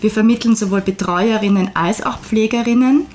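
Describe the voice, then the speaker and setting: A woman whose first language is Hungarian, a guest briskly and fluently listing details of her agency's services. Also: mid-range voice, a little mellow. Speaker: guest, care agency co-owner.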